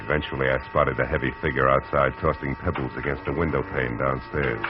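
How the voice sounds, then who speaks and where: An actor playing a hard-boiled private detective in a 1940s radio drama, dry and wisecracking. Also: male voice, rumbling low, steady tone, fast talker, over music. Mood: narrating. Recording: muffled old radio recording.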